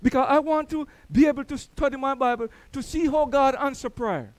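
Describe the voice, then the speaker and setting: High-voiced Jamaican preacher. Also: male voice, a little fast, no echo. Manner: storytelling preacher, swells louder on key words. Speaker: church elder. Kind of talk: sermon. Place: church.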